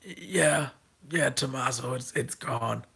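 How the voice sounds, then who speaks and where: A man who has lost his voice, talking through casual wrestling predictions with a hoarse, raspy voice and many ums, restarts and throat clearing. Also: mid-range voice, slow pace, bright, forceful tone. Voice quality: raspy, gravelly. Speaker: wrestling vlogger.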